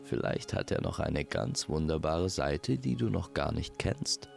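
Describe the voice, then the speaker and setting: A man's voice: rumbling low, sing-song, unhurried, gravelly, darkish tone, faint music behind, heavily acted. A man voicing a stern, authoritative middle-aged king and father in a storybook audio tale. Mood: admonishing. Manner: authoritative, admonishing.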